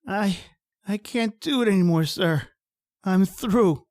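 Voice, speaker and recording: A man's voice: high-pitched, slow, darkish tone. A man playing a timid, fearful, deferential manservant in an old-time radio horror drama. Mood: frightened. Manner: anxious, deferential. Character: timid, fearful manservant named John, easily despairing.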